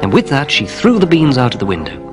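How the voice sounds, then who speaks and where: A man reading a children's story in an expressive narrating style. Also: medium pitch, fast. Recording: music playing underneath.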